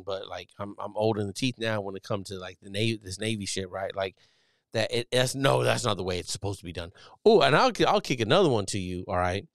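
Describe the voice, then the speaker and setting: A man in his thirties hosting a podcast, talking casually as a Navy chief, loud and profane with a strong voice. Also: lowish voice, no room sound, clean studio sound. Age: millennial, 30s. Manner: animated, profane, loud and emphatic. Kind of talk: casual solo podcast commentary.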